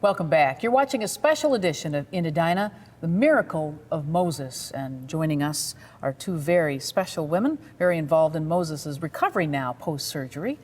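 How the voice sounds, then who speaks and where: A woman's voice: deep voice, expressive, normal speed, hardly any pauses, a little mellow. A woman hosting a local television program in a conversational presenting style. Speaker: TV host.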